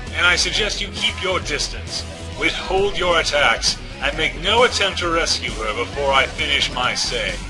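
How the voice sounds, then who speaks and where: A scheming storybook crime boss, acted by a male narrator, pitched a little high. He threatens formally and calmly over clear background music. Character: menacing adult male crime boss, calm, calculating blackmailer.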